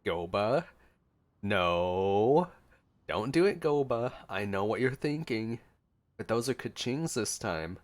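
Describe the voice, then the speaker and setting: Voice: medium pitch, lively intonation, slow pace. A gaming streamer giving casual, reactive gameplay commentary.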